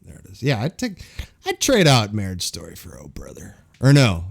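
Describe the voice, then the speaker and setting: A man's voice: on the low side, wildly swooping pitch, moderate pace, gravelly, breathy edge. A man co-hosting a film podcast in casual banter, opinionated and sweary with slangy phrasing. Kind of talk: casual banter reviewing films.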